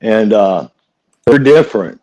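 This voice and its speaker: A man's voice: lowish voice, lively intonation, unhurried, warm tone, low-quality recording. A man from West Virginia co-hosting a live true-crime chat, folksy and repeating himself for emphasis. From West Virginia, United States. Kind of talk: casual opinionated chat.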